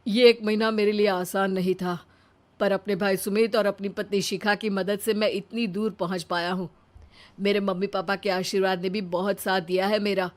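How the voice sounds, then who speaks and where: A woman's voice: mid-range voice, flat delivery, moderate pace, natural voice. A woman voicing an overconfident, scheming but dim married man with a breezy, self-assured manner in a story. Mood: proud.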